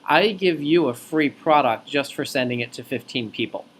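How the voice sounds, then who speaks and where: A man working as a recruiter on a phone interview, explaining things clearly point by point in an articulate way. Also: fairly high pitch, moderate pace, fairly bright tone. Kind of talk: explanatory job interview talk.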